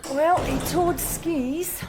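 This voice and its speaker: A woman's voice: sits high, extremely slow, background noise. A woman playing a capable young detective sergeant with a dry, understated manner in a radio crime drama. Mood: dry, observant.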